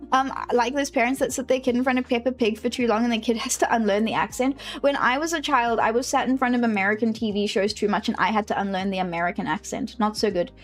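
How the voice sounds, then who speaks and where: A woman's voice: on the high side, fairly even intonation, fast talker, faint music behind. A woman from South Africa with a South African English accent, chatting casually on a game stream, rambling and correcting herself as she goes. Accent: South African English, with a British-leaning lilt. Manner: rambling, self-correcting, laughs often.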